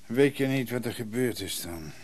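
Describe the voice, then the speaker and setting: An actor playing a blunt, exasperated shopkeeper brother in a radio drama, unforgiving and curt. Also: male voice, medium pitch, expressive, normal speed, darkish tone, a little hiss or hum, close to an everyday voice. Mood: cold, curt.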